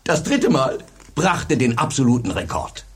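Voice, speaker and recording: Proud male teacher recounting events. Radio drama, very husky, lively pitch movement. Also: measured pace, heavily acted. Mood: animated, proud. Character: male teacher recounting his classroom experiment with pride. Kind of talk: German radio play acting.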